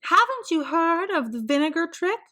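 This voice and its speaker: A woman's voice: very high-pitched, big pitch swings, moderate pace, sharp tone, voice pushed up, full character voice. A woman voicing a fussy, meddling older female neighbor in a storybook, prim and know-it-all.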